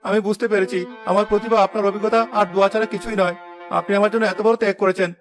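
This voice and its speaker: A man's voice: high-pitched, flat delivery, rapid-fire, over music, close to an everyday voice. A man playing a proud, hot-headed young village weaver in a dramatic moral-story cartoon. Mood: remorseful, pleading.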